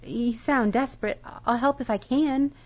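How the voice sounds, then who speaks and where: A timid, guarded lady librarian acted by a woman speaks in a fairly high voice. It has the sound of old film drama, dull and lo-fi. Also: moderate pace, natural voice. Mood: wary. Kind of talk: old Hollywood-style dramatic acting.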